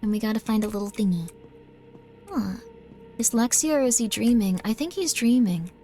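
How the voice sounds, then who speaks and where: A woman's voice: a little high, animated, moderate pace, warm tone, soft background music. A woman live-streaming a game, chatting in a bubbly way and often thanking her viewers. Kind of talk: casual live-stream chat while gaming.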